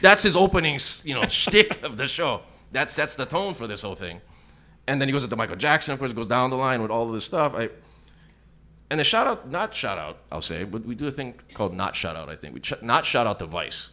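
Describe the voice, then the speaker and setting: Blunt, emphatic male co-host; quick, bright delivery. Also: medium pitch, sing-song. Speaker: podcast co-host. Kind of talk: casual opinionated chat. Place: studio with several mics.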